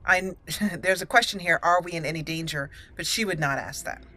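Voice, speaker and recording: A woman hosting a roleplay let's play, answering in character and commenting with deliberate, theatrical phrasing. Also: lowish voice, pushed and bright. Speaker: gaming channel host.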